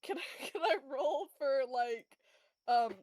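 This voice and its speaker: A woman's voice: sits high, animated, measured pace, a loud crowd. A woman playing in a tabletop role-playing game, chatting casually.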